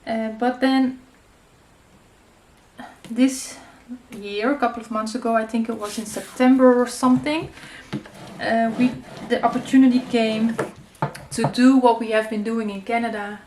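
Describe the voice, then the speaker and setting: A woman's voice: a little high, steady tone, measured pace, a little mellow. Chatty Dutch woman crafting in an echoey room. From Netherlands. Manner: chatty, many fillers and searching for words. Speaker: crafting channel host. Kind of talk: casual tutorial chat while crafting. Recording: echoey room with faint noise.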